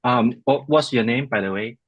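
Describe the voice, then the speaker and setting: A man from Hong Kong with a Hong Kong accent, speaking casually in a halting, polite way as he introduces himself and answers questions. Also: medium pitch, no echo. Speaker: composer and music theory teacher.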